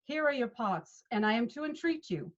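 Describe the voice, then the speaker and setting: A woman's voice: on the high side, very animated, moderate pace. A woman playing a patient male leader of amateur players in a Shakespeare read, instructing expressively over a video call. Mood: coaxing. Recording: dull video-call audio.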